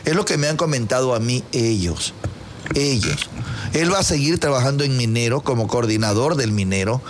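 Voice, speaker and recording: A man's voice: mid-range voice, animated, moderate pace, a little hiss or hum. A man with an Ecuadorian accent hosting casual banter and informal sports talk in a teasing, colloquial way. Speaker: radio show host.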